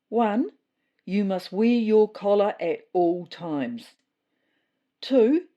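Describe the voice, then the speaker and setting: A woman playing a self-important female cat who delivers rules in a formal, measured official-briefing manner for a comedy podcast. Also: mid-range voice, warm tone, natural voice. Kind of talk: scripted comedy fiction podcast.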